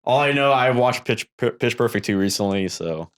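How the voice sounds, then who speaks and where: A man's voice: medium pitch, expressive, moderate pace, a bit bright. A young man co-hosting a podcast, chatting casually with dry, joking remarks. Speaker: podcast co-host. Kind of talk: casual pop-culture chat.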